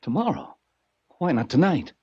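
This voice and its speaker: Husky, frantic hunted man. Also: fairly high pitch, sing-song, normal speed, darkish tone, heavily acted. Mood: pleading, frightened. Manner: pleading, agitated, overwrought. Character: desperate, frightened wealthy man marked for death. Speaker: actor in a radio drama. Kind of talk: 1940s American radio drama acting. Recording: dull vintage 1940s radio recording.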